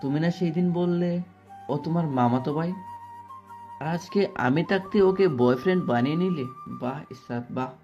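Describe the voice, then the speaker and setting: A man's voice: fairly high pitch, moderate pace, mellow, muffled recording, soft background music, close to an everyday voice. A man playing a humble, heartbroken young orphan lover, wounded and resigned, in an audio story. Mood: hurt, bitter.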